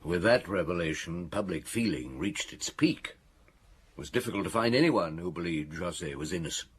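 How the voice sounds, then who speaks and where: A middle-aged actor playing a weary, reflective chief inspector in a radio drama, speaking in a measured, ruminative way. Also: male voice, sits low, expressive, breathy edge. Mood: troubled recounting. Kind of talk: radio drama dialogue and recollection.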